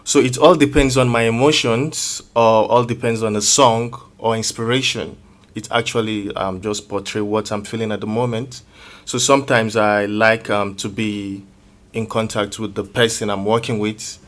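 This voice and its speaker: A man's voice: on the low side, steady tone, moderate pace. A man talking casually as a music producer being interviewed, hesitant and feeling for his words as he explains. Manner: hesitant, searching for words, roundabout phrasing.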